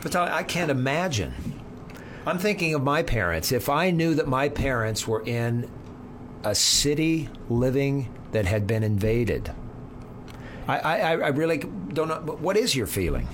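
A man's voice: medium pitch, big pitch swings, unhurried, slight background noise. Polished male radio interviewer. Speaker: radio show host.

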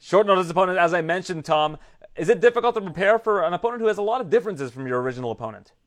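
A man's voice: high-pitched, expressive, racing. A man interviewing fighters, upbeat and inquisitive, often restarting his questions. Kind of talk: sports interview.

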